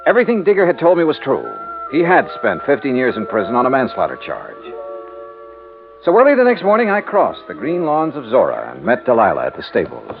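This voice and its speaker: A cool, laconic American male investigator narrating his own case in fifties radio crime style. His delivery is brisk and animated in pitch. The recording is tinny and band-limited, with music clearly behind him. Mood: measured narrating. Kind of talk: 1950s radio crime drama acting.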